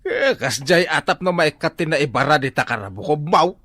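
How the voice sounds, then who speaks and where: Exasperated village father, animated pitch. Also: moderate pace, no echo, studio quality. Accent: Ilocano. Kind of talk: Ilocano radio comedy drama acting.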